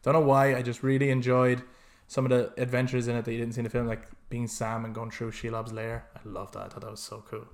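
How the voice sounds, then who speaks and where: A man's voice: mid-range voice, little pitch movement, quick pace. A man co-hosting a podcast, chatting casually and enthusiastically about video games with plenty of swearing. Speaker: podcast co-host.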